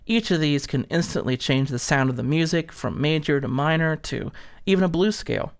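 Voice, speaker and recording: A man's voice: fairly high pitch, animated, fairly quick, vocal fry. A man presenting an instructional product demonstration, explaining things step by step.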